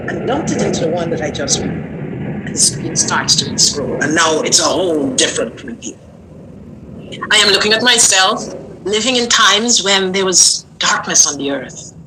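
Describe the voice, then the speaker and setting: An older woman from Guyana, an interview guest telling a personal story in an earnest, unhurried way that lingers on words. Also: medium pitch, pushed and bright, a little hiss or hum, poor audio.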